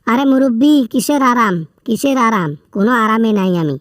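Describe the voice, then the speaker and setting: A woman's voice: fairly high pitch, lively intonation, fairly quick, no echo, studio quality, lightly acted. A woman playing a whining, pleading Bangladeshi prisoner. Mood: flustered, complaining. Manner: pleading, repeats words in agitation. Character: whining, pleading male prisoner taking a phone call from jail. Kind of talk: comic prank-style phone call skit.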